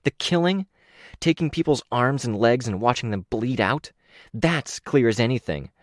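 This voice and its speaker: Cold, threatening young woman, raised pitch, a male narrator doing her, dry read. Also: animated, moderate pace, no room sound, natural voice. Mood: menacing. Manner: hard, threatening, clipped. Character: cold menacing young woman, former killer.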